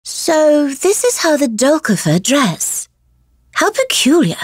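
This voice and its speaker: An actress playing a gentle, dreamy dragon woman in a video game, with a sleepy, delicately dramatic delivery. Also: high voice, sing-song, slow, no echo, studio quality, heavily acted. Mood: wistful, gentle. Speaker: voice actor. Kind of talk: scripted game character voice clips.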